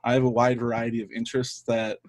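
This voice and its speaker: A man's voice: mid-range voice, monotone, fast, a little mellow, no room sound. Male guest in his early thirties, modest and hesitant, mid-range voice, dry close sound. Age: 30s. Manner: modest, hesitant, with frequent restarts. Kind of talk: casual chat.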